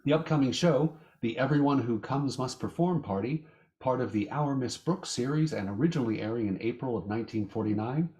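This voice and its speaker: A man announcing a radio show in a measured, theatrical, scripted style. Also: mid-range voice, a little mellow, slight background noise.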